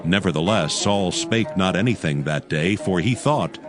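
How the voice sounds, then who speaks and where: A man narrates scripture as solemn drama. His lowish voice moves expressively up and down in a storytelling manner, with music clearly playing behind him. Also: lively intonation, moderate pace.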